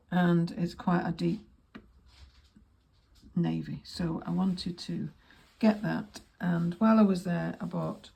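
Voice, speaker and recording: A woman with a croaky voice, chatting casually through her sewing work and correcting herself as she goes. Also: fairly low pitch, mellow. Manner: chatty, self-correcting, thinks aloud while working. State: croaky voice, coughing. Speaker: sewing vlogger. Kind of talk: casual sewing vlog narration.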